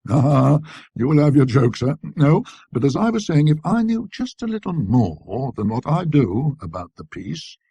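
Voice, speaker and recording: A shrewd old-fashioned shop dealer acted by a male narrator talks in folksy, deferential patter. His slightly husky voice swings up and down as he sells, like a character in an audiobook tale. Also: mid-range voice, sing-song, normal speed, warm tone, no echo, voice pushed up, exaggerated voice. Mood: persuasive, earnest. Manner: folksy ungrammatical salesman's patter.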